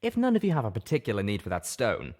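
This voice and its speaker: A man voicing a grave, polite and learned unicorn stallion in an audiobook, measured and formal. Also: on the low side, wildly swooping pitch, a little mellow. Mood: scholarly, polite.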